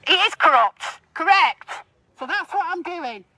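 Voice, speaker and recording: A woman's voice: very high voice, expressive, unhurried, bright, forceful tone. A woman speaks as a street protester. Her delivery is confrontational and accusatory, aimed at anyone within earshot. The voice is amplified by a megaphone, with a dry, echo-free sound outdoors. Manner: confrontational, accusatory, addressing bystanders. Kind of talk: loud public protest speech in the street.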